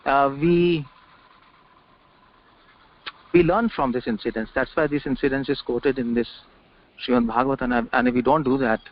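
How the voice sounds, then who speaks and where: A man's voice: mid-range voice, lively intonation, moderate pace, gravelly, pauses often. A man giving an informal scripture lecture over a conference call, repeating words and asking rhetorical questions. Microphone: conference call line. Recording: dull dry conference call audio.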